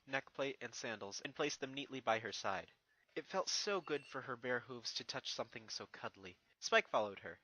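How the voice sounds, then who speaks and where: A narrator reading a scripted fan fiction story in an expressive storytelling manner. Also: medium pitch, no echo, poor audio.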